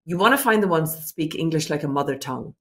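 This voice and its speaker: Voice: medium pitch, animated, fast, a bit bright, a little hiss or hum. A business owner answering interview questions earnestly and reflectively, working through ideas point by point.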